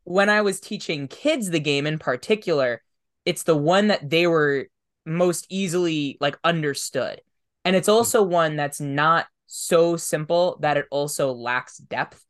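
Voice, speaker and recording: A man's voice: on the high side, measured pace, a bit bright. A man hosting a podcast interview, talking casually in a thoughtful, reflective way. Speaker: podcast host.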